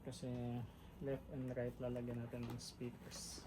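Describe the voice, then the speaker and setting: Male vlogger, casual and chatty, works while talking, flat delivery, noisy recording. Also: medium pitch, measured pace. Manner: chatty, narrates while working with his hands; relaxed, mixes English into his talk. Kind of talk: casual how-to vlog. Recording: clear background noise.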